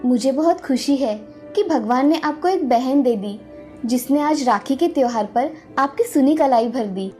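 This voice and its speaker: Caring village mother played by a woman, high-pitched. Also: lively intonation, unhurried, a little mellow, soft background music. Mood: reassuring.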